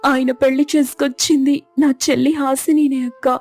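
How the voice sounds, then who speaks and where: Woman playing a mellow, wronged young wife. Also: high-pitched, flat delivery, fairly quick. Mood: hurt, resigned. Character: wronged, self-sacrificing wife, gentle elder sister. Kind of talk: animated moral story dialogue.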